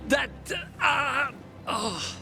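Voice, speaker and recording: A man's voice: very high voice, very slow, creaky, shouting, over music, heavily acted. An actor playing an anxious, muddled father in a video game, stammering and flustered, unable to finish his sentences. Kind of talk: video game character acting.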